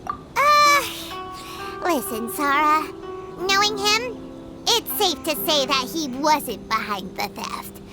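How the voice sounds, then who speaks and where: A woman voicing a tiny, excitable and blunt fairy companion in a video game, with a squeaky childlike voice. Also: takes it slowly, heavily acted. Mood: worried. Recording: loud music behind the voice.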